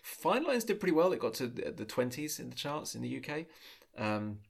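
A man's voice: medium pitch, very animated, brisk, gravelly, slightly rough. A man hosting a podcast, chatting casually and self-deprecatingly before moving on to interview questions. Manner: chatty, self-deprecating, many small restarts. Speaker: podcast host.

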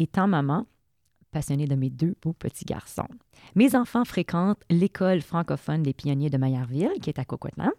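A woman's voice: low-pitched, mellow, dry recording, studio quality. A woman with a Québécois accent hosting a podcast around a table, upbeat and welcoming, laughing easily. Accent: Québécois French. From Québec. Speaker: podcast host. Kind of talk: casual podcast chat.

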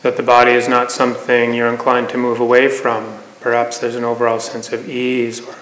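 A man teaching a guided meditation in an unhurried way, with long pauses between phrases. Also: mid-range voice, monotone, fairly bright tone. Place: meditation hall. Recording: echoey hall, noticeable reverb, faint noise.

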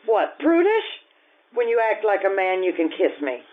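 Actress as blunt village woman, very lively. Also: medium pitch, moderate pace, close to an everyday voice. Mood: scolding. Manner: no-nonsense, sharp-tongued. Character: blunt, scolding, proud village working woman. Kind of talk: 1950s radio drama acting. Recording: tinny, noisy radio-style stage recording.

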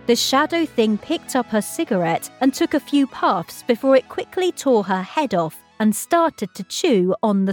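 Female horror narrator, scripted read, voice a little high, faint music bed. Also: a little mellow. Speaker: story narrator.

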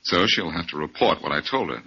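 An actor playing a confident, wisecracking amateur detective in a breezy, wry 1940s radio acting style. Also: male voice, deep voice, lively intonation, a little fast, vocal fry, bright, forceful tone, breathy edge, heavily acted. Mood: confident, scheming. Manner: breezy, self-assured, wry. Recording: muffled 1940s radio recording.

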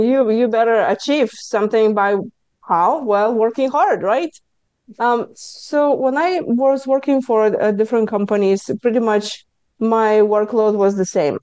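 A woman's voice: mid-range voice, smooth, no echo, highs cut off. A woman with a Lithuanian accent, a stress management coach telling her own story in an earnest, candid way on a podcast. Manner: earnest and candid, breaks into lively self-quotes. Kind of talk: personal story in a podcast interview.

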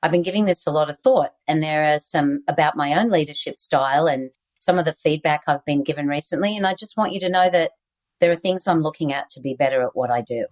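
An Australian woman, a guest author on leadership, giving earnest, animated interview answers over a video call. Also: low voice, fast.

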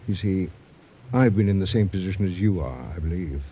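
Earnest gentle teacher, radio drama, low mellow voice, slightly noisy vintage recording. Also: male voice, lively intonation, a little fast, lo-fi sound. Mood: gentle, reflective. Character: kindly, gently awkward male teacher letting a student down. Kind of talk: 1980s radio drama acting.

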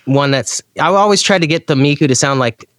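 Clear-voiced man chatting casually, close and dry. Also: fairly high pitch, brisk, a bit bright, no room sound. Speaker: interview guest, guitar pedal enthusiast. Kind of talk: casual chat.

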